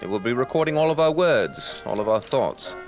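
A man's voice: medium pitch, lively intonation, fairly quick, music in the background, natural voice. A man playing a sardonic, mocking husband who drawls wry jokes in a period audio drama.

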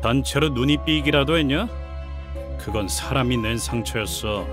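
A man voicing a brash, scornful young brawler in a video game, rough, blunt and mocking. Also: medium pitch, normal speed, music in the background, natural voice.

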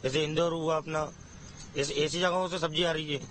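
A man's voice: a little high, steady tone, moderate pace, noisy background. A young man selling vegetables at an outdoor market, plainly listing prices into a reporter's handheld mic. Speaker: vegetable vendor. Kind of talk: vox pop answer.

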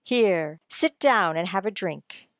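An actress playing a suave, sinister, unflappable stranger in a radio mystery, polite and composed. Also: female voice, fairly low pitch, animated, slow pace, no room sound, clean studio sound. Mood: smooth, menacing. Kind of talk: retro radio mystery acting.

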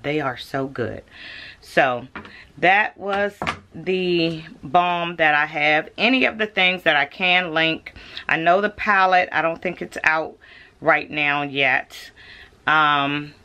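A woman's voice: sits low, slow, sharp tone. A woman, chatting casually to camera as a vlogger and calling her viewers "y'all". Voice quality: raspy.

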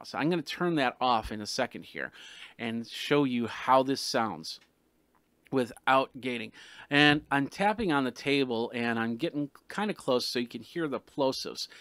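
Middle-aged male tech reviewer, medium pitch, casual and halting explainer, dry close desk-mic sound. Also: fairly bright tone, no room sound. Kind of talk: casual product review to camera. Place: small studio room with some sound treatment. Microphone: desktop gooseneck condenser microphone, close.

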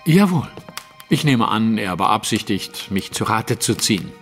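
An actor playing a self-assured gentleman detective in an audio drama, speaking formally. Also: lowish voice, big pitch swings, measured pace. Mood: smug, amused. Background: music.

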